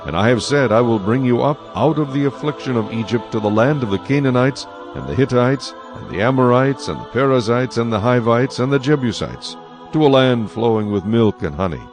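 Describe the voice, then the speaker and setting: An actor playing a solemn, commanding God in a dramatized scripture reading, with measured, authoritative delivery. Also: male voice, medium pitch, animated, natural voice. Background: faint music.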